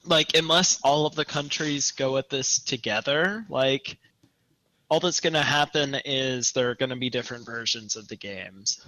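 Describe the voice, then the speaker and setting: A young man co-hosting a podcast in casual discussion, opinionated and thinking aloud in a halting way. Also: mid-range voice, pushed and bright, dry recording, low-quality recording. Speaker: podcast co-host. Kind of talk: casual chat, gaming discussion.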